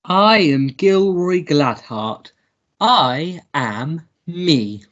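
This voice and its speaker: A woman playing an overwrought, childish gentleman hero, wailing and halting in a melodramatic radio play. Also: low voice, expressive, lo-fi sound, full character voice. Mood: dazed. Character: dazed, hysterical, childish gentleman hero mourning his teddy.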